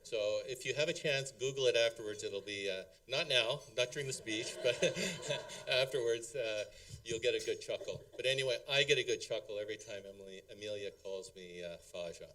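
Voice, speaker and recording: A man's voice: medium pitch, a little fast, a bit bright, far from the mic, a little hiss or hum. A middle-aged man giving a rambling, hesitant wedding speech as father of the bride, full of ums and chuckles. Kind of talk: halting, unscripted wedding toast. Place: wedding reception hall.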